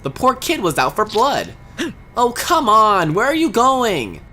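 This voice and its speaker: A woman doing a comedic parody dub, sarcastic and dramatic, swinging from deadpan mutters to frantic shouts. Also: fairly high pitch, unhurried. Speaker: parody voice-over creator. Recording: voice over loud background music.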